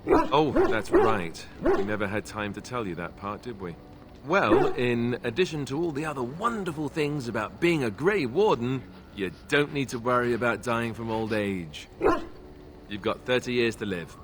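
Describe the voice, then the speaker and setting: An actor playing a wry, boyish, self-deprecating young warrior in a video game, speaking in a conversational, sardonic way. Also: male voice, mid-range voice, big pitch swings, moderate pace, heavy background noise, close to an everyday voice. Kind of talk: video game character dialogue.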